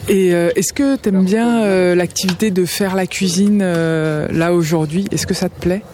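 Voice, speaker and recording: Soft-toned woman interviewer. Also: on the low side, steady tone, a little slow, a little hiss or hum. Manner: gentle, filler-laden questions. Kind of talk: interview questions.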